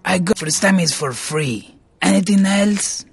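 A man voicing an over-friendly, slangy drug-dealing lizard in a video game, with a drawn-out, ingratiating delivery. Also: sits high, vocal fry, breathy edge, faint music behind, exaggerated voice. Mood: sly, friendly. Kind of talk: video game character dialogue.

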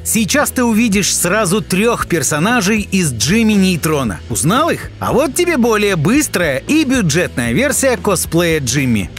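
A man's voice: sits high, big pitch swings, measured pace, hardly any pauses, soft background music. A man reading scripted narration for an entertainment video, chatty and teasing, addressing the listener directly.

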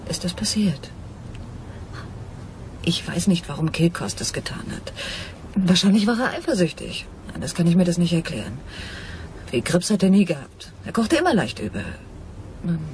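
A woman's voice: on the low side, darkish tone, a little hiss or hum. A woman playing a seductive, scheming femme fatale in a crime radio play, with a languid, confiding delivery.

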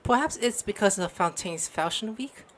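A woman's voice: mid-range voice, animated, normal speed, noisy background. A woman giving casual gameplay commentary, talking to the game with sudden exclamations and swearing. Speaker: gaming streamer. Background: game music.

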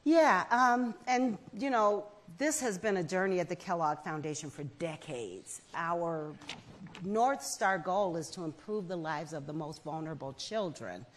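Earnest woman guest, measured speech. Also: on the low side, animated. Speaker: foundation president, guest. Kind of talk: onstage festival conversation. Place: indoor festival stage. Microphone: lapel mic.

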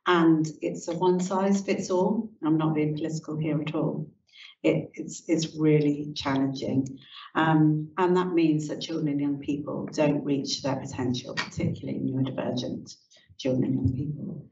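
A woman's voice: deep voice, fairly even intonation, moderate pace, warm tone. A woman who founded a charity, speaking earnestly on a panel from her notes. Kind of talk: panel discussion. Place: university seminar room. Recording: echoey room from a distance, dull.

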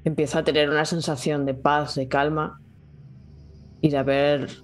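A man's voice: on the high side, level tone, normal speed, faint music behind. A man playing a tabletop game, speaking his character's actions in a hesitant, reflective way. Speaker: player. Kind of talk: in-character roleplay talk.

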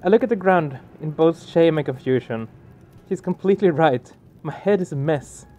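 Higher-pitched man doing game commentary. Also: moderate pace, dark tone, slight background noise. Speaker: let's play streamer. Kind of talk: casual gaming commentary, reading game text aloud. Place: small room indoors. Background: game music.

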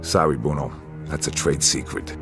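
An actor playing a confident, businesslike young mobster with an American accent, assured and blunt in a video game scene. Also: male voice, very deep voice, steady tone, normal speed, gravelly, music in the background. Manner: assured, matter-of-fact, blunt. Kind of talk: video game cutscene acting.

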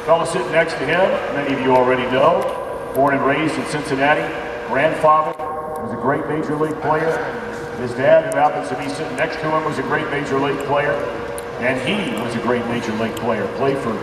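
A man's voice: mid-range voice, fairly even intonation, brisk. A man emceeing a fan event, introducing a panel with upbeat, rallying announcer energy, recorded from the audience in a large museum hangar. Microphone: distant camera in the audience. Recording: roomy hangar echo, far from mic, crowd noise.

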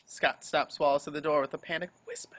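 A man reads scene descriptions as a scripted narrator. His voice is bright and forward, a little high for a man, in the setting of an amateur table read. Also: steady tone, brisk. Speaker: reader of stage directions. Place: remote video call.

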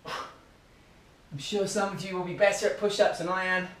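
A high-voiced man in his thirties gives upbeat, encouraging workout cues while exercising, a little out of breath. He is recorded indoors by a camera across the room, so his voice sounds distant. The living room adds an echoey ring. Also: fairly even intonation, moderate pace, a bit bright. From Australia. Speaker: running club fitness coach. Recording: roomy, distant camera audio.

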